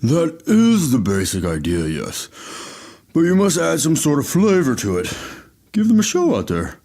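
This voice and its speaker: A man's voice: a little high, huge pitch swings, moderate pace, full character voice. A man voicing a massive, furry mammoth monster who is sweet, nervous and polite in an audio drama. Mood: kindly, encouraging. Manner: polite, gentle, apologetic.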